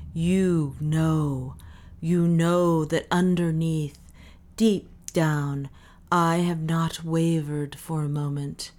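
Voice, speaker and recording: An anguished, worshipful man, acted by a woman narrator in an audiobook. The delivery is low and drawn out, with a quavering, reverent fervor. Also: a little mellow. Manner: slow, fervent, quavering, reverent. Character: anguished male zealot in rapturous religious confession. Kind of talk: dramatic audiobook character reading.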